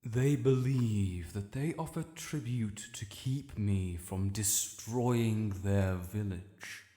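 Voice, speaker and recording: A man's voice: lowish voice, dark tone. Man voicing an ancient British dragon lord, cavernous reverb. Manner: formal, proud, measured and imperious. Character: ancient proud honorable male dragon lord, stern guardian. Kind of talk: fantasy audio roleplay addressed to the listener. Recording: roomy with long cavernous reverb.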